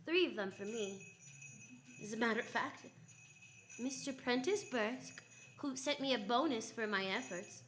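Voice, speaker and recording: A woman's voice: on the high side, a little slow, long pauses, a bit bright, a little hiss or hum. A woman playing a confident, wry female private detective who narrates and acts in old-time radio style. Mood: fond, wry. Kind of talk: 1940s radio detective drama, first-person narration.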